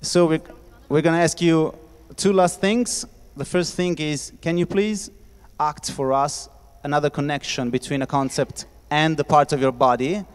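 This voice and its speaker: A man from Italy hosting a casual festival discussion, playful and quick to laugh, talking into a handheld mic with many fillers. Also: fairly high pitch, fairly even intonation, clean tone. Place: festival hall with audience. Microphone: handheld microphone. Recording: reverberant hall.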